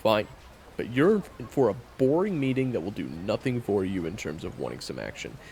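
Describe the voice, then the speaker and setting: Man playing a gruff, gravelly, deep monster hunter. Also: sing-song, normal speed, darkish tone, slight background noise. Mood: irritated, gruff. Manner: blunt, weary, slangy. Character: gruff bitter male monster hunter, blunt and unfriendly. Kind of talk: audio roleplay drama acting.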